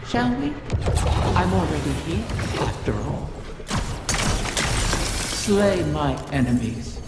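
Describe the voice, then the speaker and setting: A woman's voice: low-pitched, heavily acted. A woman voicing an ancient female wish dragon in a game, sly and seductive, with a theatrical, mocking manner and cavernous reverb. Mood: menacing, commanding. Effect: cavernous echoing reverb. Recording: long echoing reverb under loud music.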